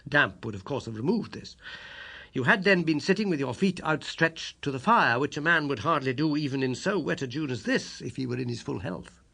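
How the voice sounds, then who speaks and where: A man voicing a brisk, self-assured British gentleman detective in an audiobook, high and somewhat strident, with a quick, incisive manner. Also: expressive, vocal fry, husky, exaggerated voice. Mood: explaining, self-assured.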